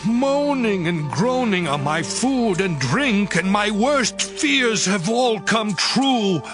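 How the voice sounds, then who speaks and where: A man's voice: high voice, animated, slow, music in the background. An actor playing a devout, suffering man crying out to God with impassioned, mournful delivery in a dramatized audio Bible. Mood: anguished lament.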